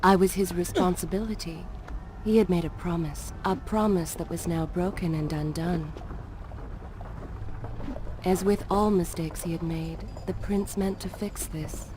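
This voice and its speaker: An actress playing a solemn, wistful female storyteller who narrates a fantasy game in formal, measured phrases. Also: fairly low pitch, steady tone, noisy background, lightly acted. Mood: wistful reproach. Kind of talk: scripted dramatic story narration. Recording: loud music behind the voice. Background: game soundtrack music.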